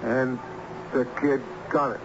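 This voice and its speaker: A man's voice: medium pitch, level tone, takes it slowly. Practical, uneasy man, muffled recording. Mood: fearful, grim. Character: scared but practical man organising a search. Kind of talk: old-time radio drama acting. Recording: muffled, noisy old radio recording.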